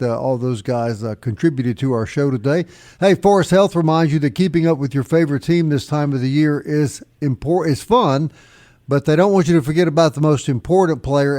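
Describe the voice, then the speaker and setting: A man's voice: on the high side, a little mellow. A man hosting a sports radio show, chatting casually with a folksy, joking manner and reading sponsor messages. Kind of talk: casual radio talk with ad reads.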